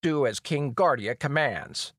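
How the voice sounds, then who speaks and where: A man's voice: mid-range voice, expressive, a little slow, no room sound, clean studio sound, voice pushed up. A man voicing a pompous, officious villainous royal chancellor who barks out commands. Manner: commanding, shouting orders.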